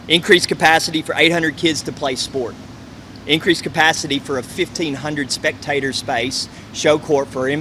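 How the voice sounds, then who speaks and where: A bright, forceful male voice reads a prepared press conference speech. He is formal and measured, at middling pitch, with faint noise behind him. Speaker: community sports centre representative. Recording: faint ambient hum and noise.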